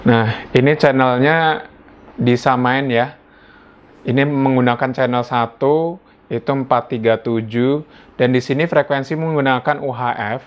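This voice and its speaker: A man's voice: mid-range voice, fairly even intonation, a little slow. An Indonesian man giving a casual hands-on gadget review indoors at home, talking informally as if thinking aloud. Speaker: product reviewer. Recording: dull lo-fi sound.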